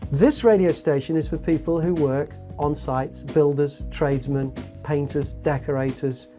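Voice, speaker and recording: A speaker in a documentary interview, explaining things in a measured way. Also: mid-range voice, mellow, faint music behind.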